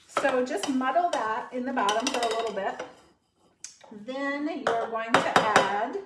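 Upbeat woman talking through a cooking demo at home, highish voice, roomy kitchen sound, mic kinda far. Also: very noisy. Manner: chatty and upbeat, with frequent ums. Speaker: cooking show host and sales consultant. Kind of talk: casual demo talk to followers. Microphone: far camera. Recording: echoey kitchen, mic at a distance.

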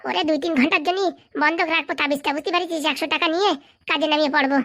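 Female voice actor as a scheming, fast-talking village boy for a comedy cartoon; very bright, pushy tone. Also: high-pitched. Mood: bossy. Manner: bossy, fast-talking, wheedling. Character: cocky scheming village boy, bossy hustler. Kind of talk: comic cartoon voice acting.

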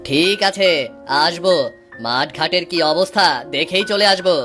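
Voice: medium pitch, normal speed, a bit bright, lightly acted. An actor as cartoon village husband, folksy, exclaiming, grumbly yet affectionate, background music. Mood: relaxed, pleased. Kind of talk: cartoon voice acting.

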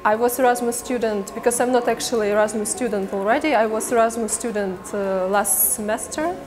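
A woman's voice: on the high side, a little fast, over music. A young woman from Lisbon, an art student answering interview questions hesitantly while searching for her words. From Lisbon, Portugal. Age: young adult. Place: indoor corridor.

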